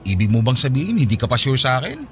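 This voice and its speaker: An actor playing a persistent, teasing young boyfriend in a radio drama, with a conversational, questioning way of talking. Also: male voice, mid-range voice, big pitch swings, fast talker, fairly bright tone. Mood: slighted, questioning. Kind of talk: Tagalog radio drama acting. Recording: music behind the voice.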